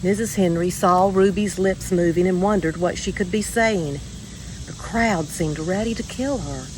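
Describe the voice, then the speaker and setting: Woman reading a storybook, lowish voice, background noise. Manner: measured, expressive storytelling with deliberate pauses. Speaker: storybook reader. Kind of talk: scripted children's story reading.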